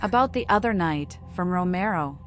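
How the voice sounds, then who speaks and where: Woman voicing a low-pitched, moody young vampire woman. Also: expressive, moderate pace, a little hiss or hum, natural voice. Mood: curious. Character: young female vampire, lovelorn, moody, muttering to herself. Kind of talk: game character monologue. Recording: music under the voice. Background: game music.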